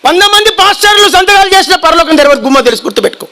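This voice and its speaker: A man preaching a fiery sermon, shouting and having the congregation repeat his lines. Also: very high-pitched, lively intonation, racing.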